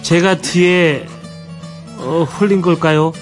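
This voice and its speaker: A man's voice: fairly high pitch, fairly even intonation, takes it slowly, soft background music. A man on a radio show, expressively telling a listener's story.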